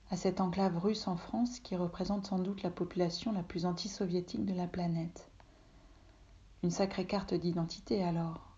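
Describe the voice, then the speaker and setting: Soft-spoken woman, mellow low voice. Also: highs cut off. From Russia. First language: Russian. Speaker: reader, translator. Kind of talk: literary reading. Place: home office.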